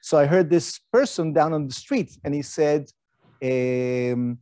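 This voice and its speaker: Man, middle-aged, slightly high voice, mellow tone, dry close sound, chatty lecturer. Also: slow pace, no room sound. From Argentina. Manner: conversational, asks rhetorical questions, frequent fillers. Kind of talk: academic lecture talk.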